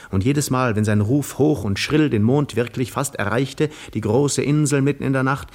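An actor playing a weary, dreamy seafarer who narrates his own voyage in measured, literary 1950s German radio drama style. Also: fairly low pitch, darkish tone.

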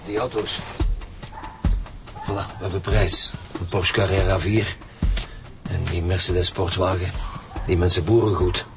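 Low-voiced Flemish police detective. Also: male voice, fairly even intonation, unhurried, pauses often, music in the background. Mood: wry. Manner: formal, dry, insistent. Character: polite but insistent senior police detective. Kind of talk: radio drama acting.

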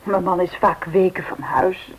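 An actress playing an aviator's reserved wife in a radio drama, hesitant and understated, with a husky voice. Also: female voice, fairly low pitch, flat delivery, a little slow. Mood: reserved, modest. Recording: muffled old radio recording.

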